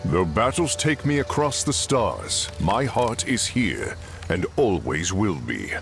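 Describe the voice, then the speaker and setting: An actor playing a noble, solemn warrior god in a video game, speaking in a grave, formal manner. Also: male voice, lowish voice, big pitch swings, slow, gravelly, music in the background, exaggerated voice. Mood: solemn, wistful. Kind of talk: video game character lines.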